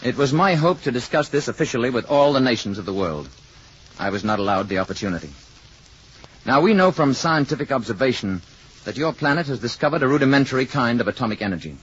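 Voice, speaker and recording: Man acting calm, fast-talking alien emissary, muffled recording. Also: medium pitch, natural voice. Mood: calm, firm. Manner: precise, formal, measured diction. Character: calm, courteous alien emissary in human guise, quietly menacing. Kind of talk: 1950s radio drama acting. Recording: muffled old radio recording, faint noise.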